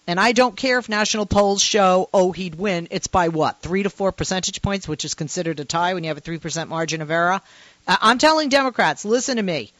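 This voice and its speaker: A woman with a low voice for a woman hosts a call-in talk radio show, talking in a passionate, emphatic way. Her pitch swings a lot as she speaks. The sound is dry and a little muffled, like a radio broadcast. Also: fairly bright tone, dry recording. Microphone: studio microphone.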